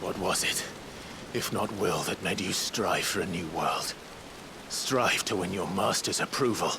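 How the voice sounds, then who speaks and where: An actor playing a resolute, brooding fantasy warrior in a video game, speaking with earnest intensity. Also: male voice, deep voice, a little slow. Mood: grave, defiant. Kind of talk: dramatic video game acting. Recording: clear rain-like background noise.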